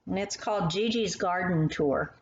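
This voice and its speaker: A woman reading poems and stories aloud to young children in an expressive, animated manner, speaking directly to the listener. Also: lowish voice, normal speed. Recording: roomy, muffled sound with faint noise.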